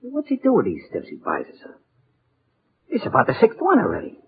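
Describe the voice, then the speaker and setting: Radio crook: raspy, wildly swinging pitch, greedy and jittery, slangy, on a tinny old broadcast. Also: male voice, wildly swooping pitch, brisk, exaggerated voice. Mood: edgy. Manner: tough gangster slang. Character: nervous, greedy low-rent male grave robber. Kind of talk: 1940s radio acting.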